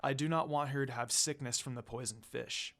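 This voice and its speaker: Narrator acting demon lord man, stern, reserved, formal, protective, lowered pitch, storybook. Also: steady tone, brisk, fairly bright tone, lightly acted.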